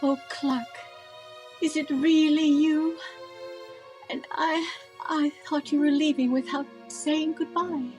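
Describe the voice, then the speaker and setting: A woman playing a poised, glamorous film actress in 1930s-style radio comedy, with a deliberate, theatrical delivery. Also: high-pitched, fairly even intonation, a little mellow, soft background music. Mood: melodramatic longing.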